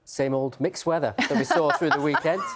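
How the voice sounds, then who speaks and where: A man presenting the morning weather forecast in a lively, chatty broadcast style. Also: a little high, normal speed, slight background noise.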